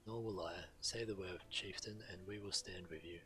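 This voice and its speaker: Deep voiced walrus tribe warrior guy acting it, proud n solemn, punchy edge, game ambience behind. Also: steady tone, normal speed, noisy background, natural voice. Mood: resolute. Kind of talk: video game character dialogue.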